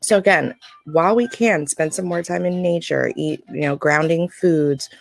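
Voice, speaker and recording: A woman with a scratchy voice hosting a live stream, giving a casual spiritual card reading in an informal, halting, explanatory way. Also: low-pitched, vocal fry. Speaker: live-stream oracle card reader and host. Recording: music playing during a live stream.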